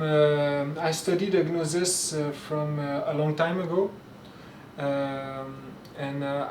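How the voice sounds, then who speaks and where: A man from Quebec, a native French speaker with a Québécois French accent, gives an informal spoken lecture with halting speech, many fillers and restarts. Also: a little high, darkish tone, a little hiss or hum. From Quebec, Canada. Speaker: spiritual teacher. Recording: roomy echo, mic at a distance, faint ambience.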